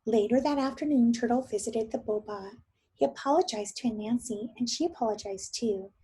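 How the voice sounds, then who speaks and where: A woman teacher reading a story aloud to young children, expressive and often thinking aloud with questions for her listeners. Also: a little high, fairly quick, breathy edge.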